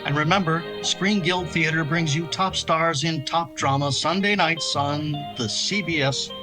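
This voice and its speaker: A man's voice: fairly high pitch, measured pace, lightly acted. A man playing a polished, formal 1950s radio network announcer, reading scripted promotional copy. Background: music.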